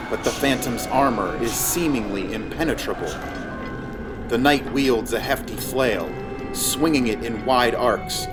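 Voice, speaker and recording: A man reading scripted fantasy narration in a measured storytelling manner. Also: mid-range voice.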